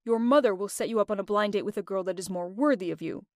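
A woman's voice: medium pitch, quick pace, no room sound, studio quality, pitched below the performer's own voice, lightly acted. A woman voicing a stern, disapproving middle-aged father who scolds and gives orders. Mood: scornful. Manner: cold, scolding, commanding.

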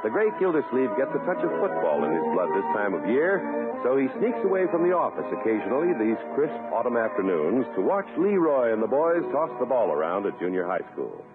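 Highly animated male radio announcer. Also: a little fast. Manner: polished, salesmanlike, emphatic. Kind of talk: 1950s radio scripted ad and narration. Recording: tinny 1950s radio recording. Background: music.

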